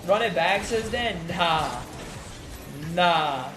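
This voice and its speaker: A young male streamer with a somewhat high voice talks in a loose, relaxed way, full of fillers and easy asides to his chat. A faint hiss sits under his voice from the home setup. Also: takes it slowly. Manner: laid-back, filler-heavy, reads chat aloud. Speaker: gaming streamer. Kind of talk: casual live-stream chat.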